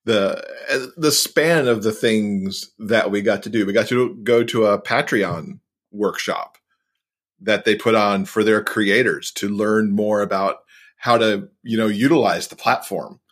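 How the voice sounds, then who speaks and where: Low-voiced man, enthusiastic podcast talk. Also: measured pace. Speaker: podcast co-host being interviewed. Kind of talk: casual conversational interview.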